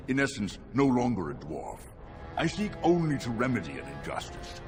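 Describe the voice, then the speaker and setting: A man's voice: deep voice, exaggerated voice. A man playing a scheming dwarf noble in a fantasy game, with a courtly, persuasive, oratorical manner. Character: smooth-talking, scheming male dwarf noble politician. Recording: music behind the voice.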